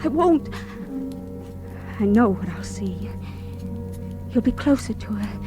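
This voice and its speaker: An actress playing a fragile, panicked young woman in an old radio horror play, breathless, halting and repeating herself. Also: mid-range voice, expressive. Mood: terrified. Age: young adult. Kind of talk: 1940s radio horror acting, monologue. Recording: old radio drama with music underneath.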